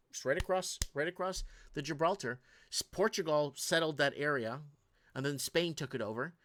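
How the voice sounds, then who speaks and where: A man's voice: on the high side, a bit bright. A man hosting a reaction show, commenting on viral clips in an indignant, rambling rant full of restarts. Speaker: host.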